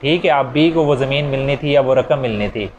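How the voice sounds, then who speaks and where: A man's voice: medium pitch, brisk, highs cut off, slight background noise. A man with a Pakistani accent giving an informal step-by-step law lecture, repeating key phrases and mixing in English legal terms. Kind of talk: explanatory lecture with worked examples.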